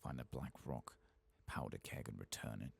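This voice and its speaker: Very deep, gravelly soft-spoken man. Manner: murmured, unhurried, talking to himself while playing. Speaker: gaming channel host. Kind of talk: soft-spoken ASMR game playthrough commentary. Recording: faint background noise.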